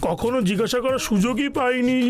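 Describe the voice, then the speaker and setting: A man's voice: extremely high pitch, quick pace. A man voicing a grave, clueless tribesman in a children's comedy cartoon. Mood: ominous.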